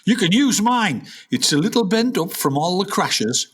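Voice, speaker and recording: Radio comedy dad: male actor as eccentric jazzman in his sixties, raspy and lively. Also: high-pitched, moderate pace, exaggerated voice. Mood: jaunty. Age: 60s. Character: eccentric sixty-year-old jazzman father, battered but cheerful. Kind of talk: comedic radio play acting.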